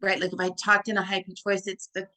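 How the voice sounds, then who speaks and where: A woman with a deep, full voice, talking as a hypnotherapist guest in a casual interview, chatty and full of "like" and "right?". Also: monotone, fast, bright, forceful tone. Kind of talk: casual conversation.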